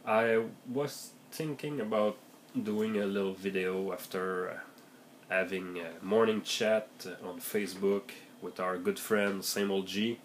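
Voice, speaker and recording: Slow, low-voiced man with a raspy cold. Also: fairly even intonation, a little hiss or hum. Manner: hesitant, many fillers and restarts, thoughtful. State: has a heavy cold, voice deeper and raspier than usual. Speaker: game master giving tips. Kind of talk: casual vlog monologue.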